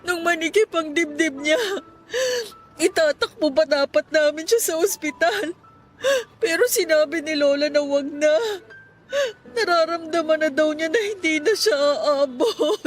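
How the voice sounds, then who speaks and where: A grieving young woman in a radio melodrama, crying as she speaks. The voice is extremely high, sobbing and guilt-ridden over faint music. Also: unhurried. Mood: guilty, grieving. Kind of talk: radio drama acting.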